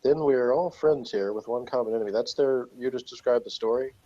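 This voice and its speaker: A man's voice: medium pitch, brisk, warm tone. A man chatting casually as a co-host, laughing a lot and rambling with restarts. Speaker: co-host reviewing a TV episode. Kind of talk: casual chat. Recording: dull lo-fi sound.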